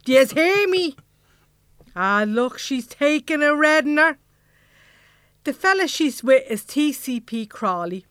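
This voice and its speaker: A woman's voice: high voice, big pitch swings, a little slow, pauses often, a bit bright, dry recording, studio quality, full character voice. A woman acting the bossy, no-nonsense leader of a secret band in an Irish comedy audio drama, colloquial, with a Dublin accent. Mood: bossy, jovial.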